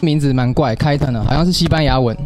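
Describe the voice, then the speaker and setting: A man's voice: mid-range voice, fast talker, a little mellow, soft background music. A man with a Taiwanese Mandarin accent chatting casually and playfully as a radio show host.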